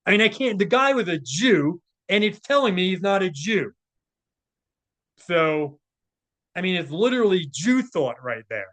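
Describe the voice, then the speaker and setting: A man's voice: sits high, sing-song, a little slow, a bit bright. A man giving casual, scornful spoken commentary as he reads through a text, with sarcastic asides. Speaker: commentator, presenter.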